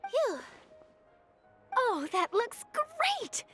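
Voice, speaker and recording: An actress playing an eager, sweet and earnest young woman in a video game, bubbly and expressive. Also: extremely high pitch, extremely slow, music in the background, full character voice. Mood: cheerful. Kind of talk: scripted video game acting.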